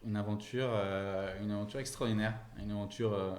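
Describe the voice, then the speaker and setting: A man's voice: lowish voice, fairly even intonation, moderate pace, darkish tone. A man in his late thirties answering casually as an interview guest, hesitating and repeating words as he thinks. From France and Germany. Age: late 30s. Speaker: company founder, podcast guest. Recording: roomy, echoing interview sound.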